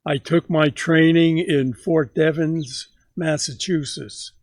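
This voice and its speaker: A man in his nineties telling stories from his youth to his grandson, slow and halting, often repeating words as he begins a sentence. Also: on the high side, steady tone, dry recording. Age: 90s. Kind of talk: casual storytelling interview.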